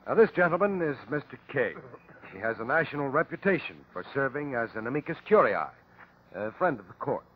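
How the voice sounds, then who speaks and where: Male as fussy official coroner, husky lively voice, vintage radio courtroom drama. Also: mid-range voice, brisk. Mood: authoritative. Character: officious, deferential male coroner running inquest. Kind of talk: old-time radio drama acting. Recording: muffled old radio drama recording.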